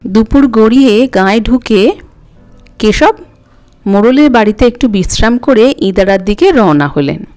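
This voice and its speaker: Animated, high-voiced woman storyteller. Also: a little slow, faint music behind. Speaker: audiobook narrator. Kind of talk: scripted story narration.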